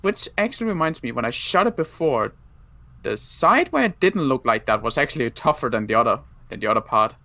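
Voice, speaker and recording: A man's voice: mid-range voice, lively intonation, brisk, sharp tone, no room sound. A man roleplaying a wounded, rifle-carrying survivor in a zombie game, blunt and wry with a folksy turn. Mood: grim, blunt. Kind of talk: improvised Minecraft roleplay.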